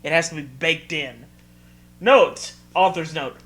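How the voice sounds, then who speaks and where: A man's voice: on the high side, a little slow, fairly bright tone. A man co-hosting with comedic riffs, mocking and exasperated, swearing freely. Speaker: co-host. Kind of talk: comedic riffing commentary.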